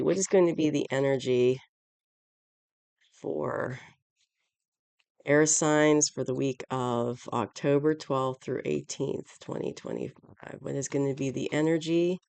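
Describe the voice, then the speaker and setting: A congested tarot reader talks through a weekly spread in a loose, rambling way, often circling back to fix a phrase. The voice is stuffy from allergies and a sore throat, with a gravelly fry at the bottom. The sound is close and dry, with no room around it. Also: medium pitch, slow pace, pauses often, darkish tone, no echo. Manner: rambling, repeats and corrects phrases. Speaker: tarot reader, channel host. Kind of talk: casual weekly tarot reading to camera.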